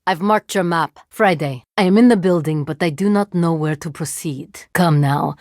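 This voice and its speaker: A woman voicing a video game cyborg woman, curious, literal-minded and coolly menacing, in formal, measured phrasing. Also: deep voice, darkish tone, no echo, studio quality. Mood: calm, curious. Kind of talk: video game character voice lines.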